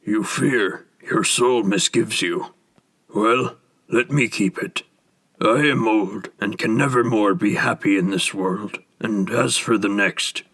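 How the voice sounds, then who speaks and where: A kindly, frail old man speaks in a low, grave and gentle way, as a male reader puts him on. His voice sits lower and darker than the reader's own. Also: slow, slightly rough, heavily acted.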